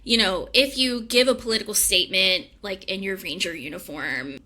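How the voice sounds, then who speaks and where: A young woman, a former park ranger, chatting casually as a guest and leaning on "like" and "you know". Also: medium pitch. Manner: relaxed, frequent "like" and "you know" fillers. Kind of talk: casual conversation.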